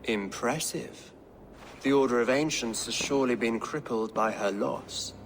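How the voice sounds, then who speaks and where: An actor playing a pious, calculating English king in a video game, with a formal, deliberate and composed delivery. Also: mid-range voice, natural voice. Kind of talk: dramatic video game acting. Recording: noisy background ambience.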